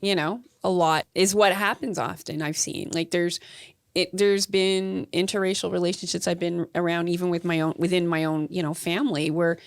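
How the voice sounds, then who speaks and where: A woman guest on a podcast talking in a measured way, thinking aloud with fillers. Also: on the low side, steady tone, dry recording, studio quality. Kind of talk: casual podcast chat.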